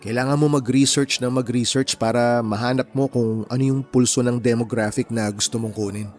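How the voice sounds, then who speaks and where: An actor playing a brainy, introverted, considerate young man in a radio drama, speaking in a measured, gentle way. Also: mid-range voice, fairly even intonation, faint music behind, lightly acted. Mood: earnest, modest.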